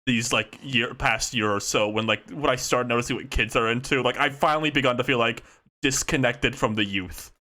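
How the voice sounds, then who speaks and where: A man in his twenties co-hosting a podcast in casual chat, laughing often and talking in rapid bursts. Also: medium pitch, sharp tone. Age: 20s. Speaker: podcast co-host.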